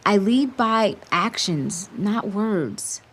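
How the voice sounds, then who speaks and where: A woman's voice: medium pitch, lively intonation, extremely slow. A woman playing an earnest, unsure teenage team leader in an audio drama. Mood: self-doubting. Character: self-doubting teenage girl team leader.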